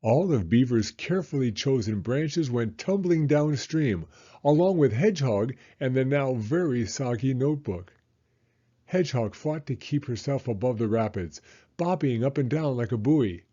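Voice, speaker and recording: A man narrating a children's story as an expressive read-aloud. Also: mid-range voice, moderate pace. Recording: slightly dull, muffled audio.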